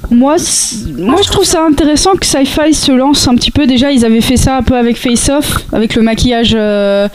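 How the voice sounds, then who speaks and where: A woman's voice: sits high, normal speed, darkish tone, a little hiss or hum, poor audio. A woman giving a casual podcast segment on cosplay, talking with many hesitations and restarts.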